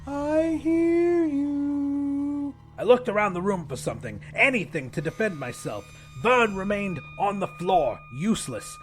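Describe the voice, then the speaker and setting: A male audiobook narrator with a high-pitched voice tells a horror story in the first person. His delivery is dramatic and intense, with faint music behind it. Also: very animated, normal speed, few pauses, a bit bright.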